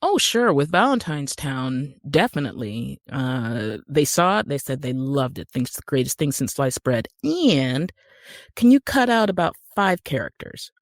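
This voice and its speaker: A woman's voice: very deep voice, very animated, measured pace, dry recording. Wry American woman, dry close sound. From Utah, United States. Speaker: guest actor and screenwriter. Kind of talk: casual podcast interview.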